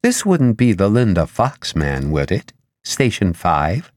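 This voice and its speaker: A man's voice: sits low, very animated, takes it slowly, a little mellow, dry recording, studio quality, full character voice. A man voicing a polite, officious spaceship switchboard operator in an audiobook, reciting in a businesslike way. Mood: curious. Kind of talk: audiobook character dialogue.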